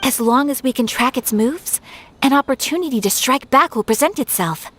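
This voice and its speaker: A refined young noblewoman speaks in a fairly high voice, polite and earnest. It is formal, gentle game dialogue with faint background noise. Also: lively intonation, normal speed. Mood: determined. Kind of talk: video game cutscene dialogue.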